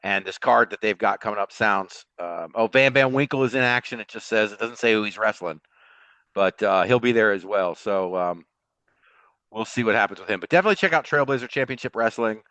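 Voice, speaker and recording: A man's voice: on the low side, a little fast, bright, forceful tone, no room sound. A man co-hosting a podcast in a casual, chatty style about sports. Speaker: podcast co-host.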